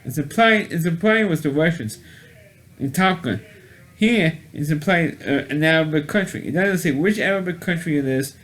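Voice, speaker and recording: A man's voice: fairly high pitch, expressive, normal speed, a bit bright. Rambling man reviewing an old movie. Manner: rambling, with many restarts and repeated words; blunt and mildly profane. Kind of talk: casual spoken movie review.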